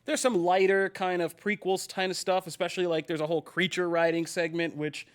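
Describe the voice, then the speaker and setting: A man's voice: sits high, little pitch movement, brisk, smooth. A man hosting a casual pop-culture podcast, talking energetically with lots of fillers and jokes. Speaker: podcast host. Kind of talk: casual panel chat.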